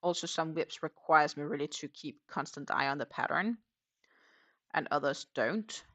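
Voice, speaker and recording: Danish accent, crochet livestream host, gravelly, bright, dry close sound, chatty, answers viewer comments. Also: mid-range voice, moderate pace, dry recording. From Denmark. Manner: thinks aloud, with many fillers and trailing sentences. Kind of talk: casual livestream chat while crocheting.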